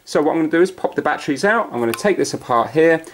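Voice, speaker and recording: A man talks casually through a hands-on job, thinking aloud as he goes in a chatty, unscripted way. He is recorded on a camera at home, with clear noise and clicks around his voice. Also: fairly high pitch, expressive, very fast. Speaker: host of amateur repair videos. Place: carpeted room at home.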